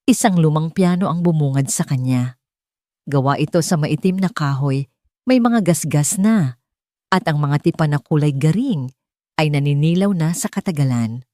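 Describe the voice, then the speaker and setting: Low-pitched woman narrating a story. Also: expressive, moderate pace, dark tone, dry recording, clean studio sound. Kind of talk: scripted dramatic story narration.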